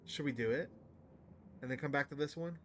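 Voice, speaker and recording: A man's voice: mid-range voice, brisk, a bit bright, soft background music. A man live streaming a game, chatting to his viewers and reading the on-screen text aloud. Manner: chatty, addresses stream chat.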